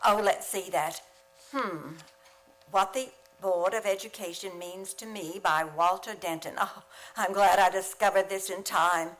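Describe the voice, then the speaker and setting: Wry quick-witted schoolteacher lady, played by an actress, old radio comedy style. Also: medium pitch, steady tone, fairly bright tone, natural voice, poor audio. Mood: dry, teasing. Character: wry, quick-witted female high school English teacher.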